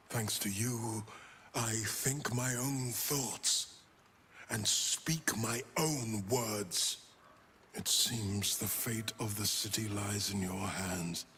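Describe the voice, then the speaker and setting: A man's voice: on the low side, pushed and bright, slight background noise. An actor playing a weary, solemn nobleman in a dramatic video game scene. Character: weary rescued nobleman grateful to his savior, solemn. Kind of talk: dramatic game cutscene acting.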